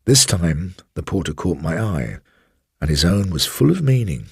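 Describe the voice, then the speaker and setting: An older English man reads a literary ghost story. His voice is very low and rough, with wide, expressive swings of pitch. The delivery is measured, wry and dramatic. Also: dry recording, clean studio sound. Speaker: story reader.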